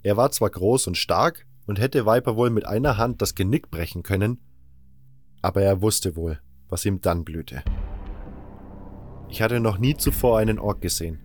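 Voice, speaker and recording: A man's voice: fairly low pitch, moderate pace, no echo. A man reading scripted first-person fantasy narration as an audiobook narrator.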